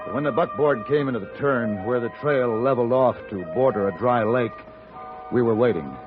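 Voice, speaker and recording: An actor playing a stern, controlled gunfighter hero in a 1950s radio western, speaking in a clipped, grave way. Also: male voice, mid-range voice, normal speed, natural voice. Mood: grave narration. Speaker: lead actor and narrator. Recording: muffled old radio recording with music.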